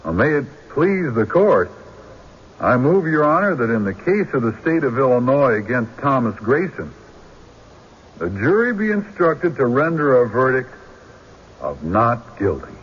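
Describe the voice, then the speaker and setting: An actor playing a shrewd country defense lawyer in a radio drama, deliberate and rhetorical, pressing pointed questions. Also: male voice, mid-range voice, sing-song, slightly rough. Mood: forceful oratory. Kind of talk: old-time radio drama acting. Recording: dull, noisy radio drama recording.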